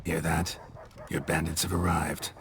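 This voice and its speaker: An actor playing a stoic, world-weary monster hunter in a fantasy game, speaking tersely and deadpan. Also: male voice, rumbling low, measured pace, gravelly, noisy background, exaggerated voice. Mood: sardonic.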